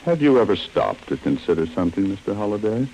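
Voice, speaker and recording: An actor playing a politely threatening gang boss in a 1940s radio drama, measured and falsely courteous. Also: male voice, fairly low pitch, big pitch swings, warm tone. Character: smooth, menacing male henchman boss, politely threatening. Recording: noisy vintage radio recording.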